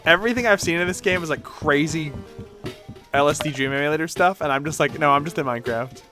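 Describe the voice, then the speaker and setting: A man's voice: on the high side, quick pace, music in the background. A man chatting casually on a game livestream, rambling and interrupting himself, with some mild swearing. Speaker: game streamer. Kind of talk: casual livestream gameplay chat.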